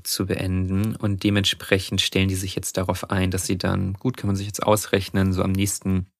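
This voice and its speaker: A man's voice: deep voice, monotone, moderate pace, no room sound, clean studio sound. A man co-hosting a podcast, talking through a story in a chatty way with frequent restarts. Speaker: podcast co-host, presenter of the story. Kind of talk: casual podcast conversation.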